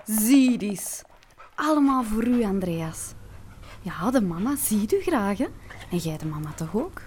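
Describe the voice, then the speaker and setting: A woman's voice: medium pitch, very animated, a little slow, a little mellow, a little hiss or hum. An actress with a Flemish accent playing an exasperated woman in a breakup who dotes on her dog, in a naturalistic comedy radio play. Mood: coaxing, tender.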